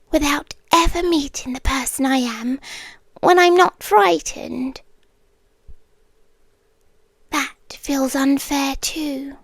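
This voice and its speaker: A British woman playing an obsessive female monster in an intimate ASMR roleplay, speaking in measured, formal phrases that often drop into whispers. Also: sits high, no room sound, heavily acted. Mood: wounded, insistent. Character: obsessive yandere female monster with tail, claws and venom.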